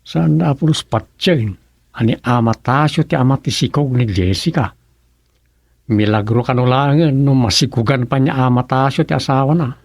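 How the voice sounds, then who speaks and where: A man's voice: mid-range voice, moderate pace, gravelly, no room sound. A man with an Ilocano Filipino accent playing a gossipy village official in a radio comedy drama.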